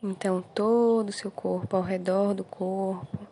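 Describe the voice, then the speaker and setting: A slow-speaking Brazilian woman leads a guided meditation. Her delivery is gentle and soothing, with brief words of encouragement between instructions. A faint ambient noise sits behind her voice. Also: mid-range voice, steady tone. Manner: soothing, gentle, unhurried with short encouraging phrases.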